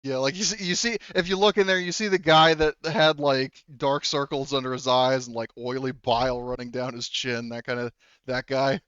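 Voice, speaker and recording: Smooth-voiced male game master, casual. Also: mid-range voice, fairly even intonation, fairly bright tone, dry recording, muffled recording. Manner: informal, halting, sweary. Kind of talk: casual improvised tabletop game narration.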